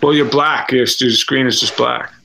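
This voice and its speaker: A man in his thirties talks loosely over a video call. His voice is gravelly and bright, and he rambles and backtracks with plenty of "uh"s as he sizes up contestants. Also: medium pitch, fairly even intonation, a little hiss or hum, rough recording. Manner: rambling, halting with many fillers. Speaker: livestream co-host. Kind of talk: casual chat about reality TV contestants.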